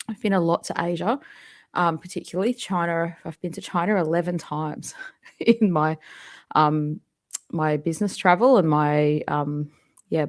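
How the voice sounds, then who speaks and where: A woman with a Western Australian accent chats easily into her desktop microphone at home. Her voice sits a little low, and she rambles along with plenty of ums and quick laughs. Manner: chatty and rambling, laughs often, many ums. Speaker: musician hosting a live fan Q&A. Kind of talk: casual live-stream chat. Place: home, indoors. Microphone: desktop microphone at a computer.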